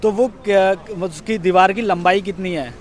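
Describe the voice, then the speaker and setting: A man's voice: sits high, normal speed. A young man answering casually as an exam candidate, hesitant and searching for words. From Bulandshahr, Uttar Pradesh, India. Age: young adult. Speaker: exam candidate being interviewed.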